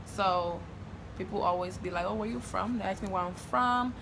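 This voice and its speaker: A woman's voice: mid-range voice, normal speed, creaky, noisy background. A young woman from Honduras with a Spanish accent, chatting casually to her camera with lots of fillers and restarts. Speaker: vlogger.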